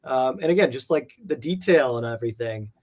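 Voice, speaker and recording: Casual man chatting, medium pitch. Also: expressive, a little fast, a little mellow. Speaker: guest, comic art collector. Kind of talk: casual interview chat.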